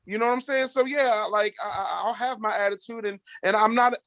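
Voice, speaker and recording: A man guesting on a podcast, talking in a loud, booming, blunt and profane way, sometimes slipping into mocking voices. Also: steady tone, fairly quick, no echo. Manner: slips into mocking put-on voices. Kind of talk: profane casual chat.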